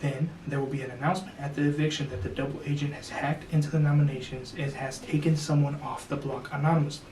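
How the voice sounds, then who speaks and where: A man's voice: a little high, steady tone, quick pace, few pauses, a little mellow. A young man hosting the show, casually explaining a twist and reading a secret message with many fillers. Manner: laid-back, filler-heavy, jokey. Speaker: host. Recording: echoey room, slightly distant, faint noise.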